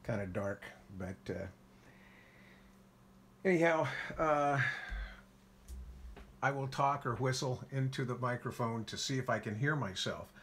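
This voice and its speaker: A man calmly walking through his ham radio station setup in a casual how-to, with lots of filler words. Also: mid-range voice, measured pace, long pauses, a little hiss or hum. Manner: relaxed, folksy, frequent "uh" fillers. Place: home radio shack by a window.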